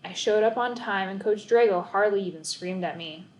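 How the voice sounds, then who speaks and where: A woman reads a children's story aloud in scripted, expressive narration. Her pitch sits in the middle range for a woman. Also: moderate pace, rough recording.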